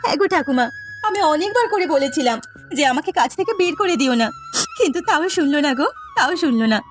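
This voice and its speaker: A woman's voice: high voice, lively intonation, quick pace, soft background music. A woman voicing a poor, earnest young village housemaid in a cartoon story, with a plaintive, imploring manner. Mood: despairing. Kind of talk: Bengali cartoon story voice acting.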